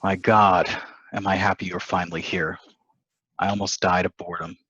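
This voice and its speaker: A man's voice: low voice, level tone, normal speed, pushed and bright, slightly rough, exaggerated voice. A man acting a smitten, flirtatious married man from the 1950s in a stage play table read, on a dull lo-fi recording. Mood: bored, frustrated. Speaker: actor at a table reading. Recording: dull lo-fi sound.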